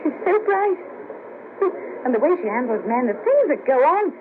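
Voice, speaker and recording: An actress playing a chatty, bawdy, self-deprecating sex worker in a 1970s radio play, speaking in an earthy, colloquial way. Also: female voice, high voice, very animated, moderate pace. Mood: candid, rueful. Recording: noisy patchy 1970s radio cassette, narrow band.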